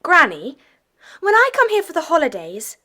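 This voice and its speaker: A woman's voice: high-pitched, huge pitch swings, normal speed, sharp tone, exaggerated voice. A woman playing an earnest, affectionate runaway schoolgirl in an audiobook dramatization. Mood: happy, eager.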